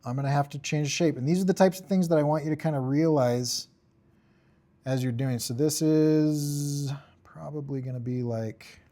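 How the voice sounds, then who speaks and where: A man giving an informal software tutorial, thinking aloud in short, offhand fragments as he works. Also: a little high, normal speed. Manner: offhand, talks in short fragments while working. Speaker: tutorial instructor.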